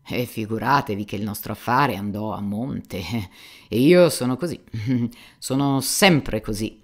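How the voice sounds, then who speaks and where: A garrulous aging buffoon, as an audiobook reader puts him on, declaiming loudly with self-mocking pathos. He rambles from one anecdote to the next, his voice pushed a little higher than the narration and full of showy bluster. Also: a little slow. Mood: theatrical. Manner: loud, theatrical, rambling storytelling. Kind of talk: audiobook character reading.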